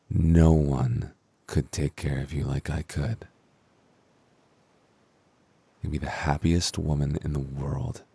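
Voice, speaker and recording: Man acting as seductive incubus, dark mellow tone, dry close sound, gravelly, possessive, intimate ASMR roleplay. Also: extremely low pitch, no echo, exaggerated voice. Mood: possessive, adoring. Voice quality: gravelly, husky. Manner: slow, intimate, coaxing. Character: possessive seductive male incubus lover, sweet and menacing.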